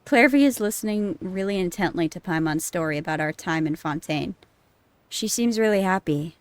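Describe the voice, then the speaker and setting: A woman's voice: medium pitch, no room sound. A woman streamer commenting live as she plays a story game, with an ordinary mid-range voice. She reads the on-screen text aloud, breaks into laughter and swears at sad moments.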